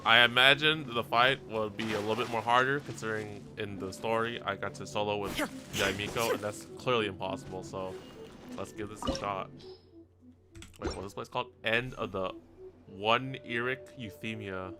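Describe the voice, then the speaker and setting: Low-voiced man commenting on gameplay. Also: fairly even intonation, normal speed, over music. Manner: slangy, reacts aloud to the game. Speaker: gaming video host. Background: game soundtrack.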